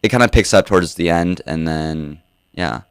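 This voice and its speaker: A man's voice: deep voice, moderate pace, clean tone, no echo. A young man guesting on a radio show, talking about DJing in a laid-back way, full of "like" fillers. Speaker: DJ and podcast host guest. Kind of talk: casual interview answers.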